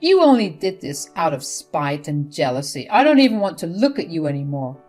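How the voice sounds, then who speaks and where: A woman's voice: low-pitched, very animated, a little fast, darkish tone. A woman voicing a fed-up adult son who refuses his mother bluntly and firmly, over faint music.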